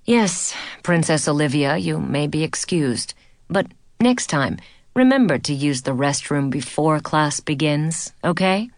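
A woman's voice: low voice, lively intonation, unhurried, no room sound, clean studio sound, pitched below the performer's own voice. A woman voicing a weary, bored male French dance teacher who scolds formally.

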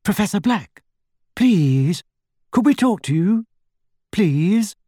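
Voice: medium pitch, sing-song, normal speed, darkish tone, husky, no echo, studio quality, pitched above the performer's own voice. A performer voicing an earnest, insistent teenage girl, a young witch who speaks politely and precisely, in an audiobook. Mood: coaxing.

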